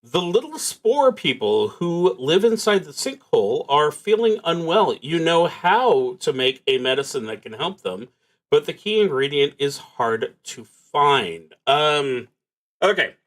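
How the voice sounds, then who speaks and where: A man thinking aloud as he narrates a solo tabletop roleplaying game, in a halting, musing, wry way. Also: fairly high pitch, big pitch swings.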